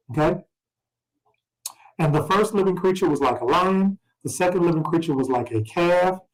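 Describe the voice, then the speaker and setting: A man with a somewhat raised pitch teaches scripture in an informal way. He moves between reading verses and explaining them in everyday words, prompting listeners with questions as a study leader would. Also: poor audio.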